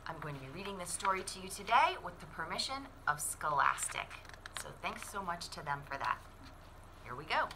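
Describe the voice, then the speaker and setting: A woman in her forties reading a picture book aloud to children in an expressive, deliberate storytelling way. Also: fairly low pitch, noisy background. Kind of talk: animated children's read-aloud.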